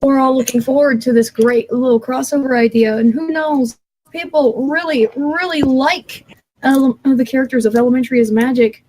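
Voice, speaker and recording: High-pitched woman podcast co-host. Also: fairly even intonation, normal speed, darkish tone, slight background noise, rough recording. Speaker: podcast co-host making announcements. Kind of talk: casual panel talk with announcements.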